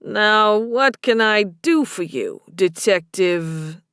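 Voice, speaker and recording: A woman voicing a flirtatious, cagey male bartender and club owner with a Boston accent and a smooth, unhurried way of talking, for an audiobook. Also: medium pitch, lively intonation, fairly bright tone, no room sound, clean studio sound. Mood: flirty, teasing.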